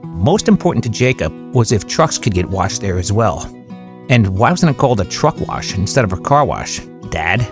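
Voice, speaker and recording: An ordinary small-town family man, played by an actor, wistfully recounting eerie memories. His voice is on the low side, with folksy, measured storytelling over clearly audible music in a horror audio drama. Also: very animated. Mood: reflective, measured. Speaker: first-person narrator.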